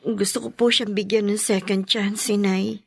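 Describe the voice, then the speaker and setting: An actress playing a long-suffering wife in a radio drama, soft and forgiving. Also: female voice, mid-range voice, little pitch movement, moderate pace, gravelly, slightly rough, dry recording. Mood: earnest.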